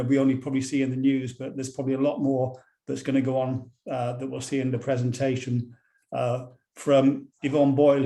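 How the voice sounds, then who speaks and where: A man chairing a webinar and introducing the speaker, halting, with many fillers. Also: medium pitch, warm tone. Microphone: video call.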